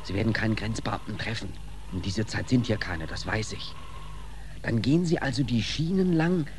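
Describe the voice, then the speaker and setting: A male actor playing a gruff, impatient policeman in a 1940s German radio drama, giving brusque, furtive orders. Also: lowish voice, close to an everyday voice. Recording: noisy vintage 1940s radio recording.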